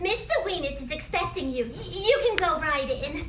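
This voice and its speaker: Squeaky-high, sing-song small-town office receptionist, played by a woman, chirpy and apologetic, noisy echoey recording. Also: expressive, fairly quick. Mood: warm, flustered. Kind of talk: script table read of a comedy.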